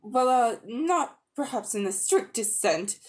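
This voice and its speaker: A woman voicing a nervous old gentleman magician, stammering and obsequious, in a dramatic storybook reading. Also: on the high side, expressive, measured pace, pitched above the performer's own voice. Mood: defensive, stammering.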